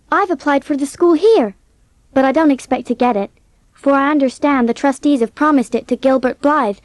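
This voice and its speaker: A woman playing an earnest, impulsive, warm-hearted teenage orphan girl in an audiobook drama, speaking with eager emotion. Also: high voice, no echo.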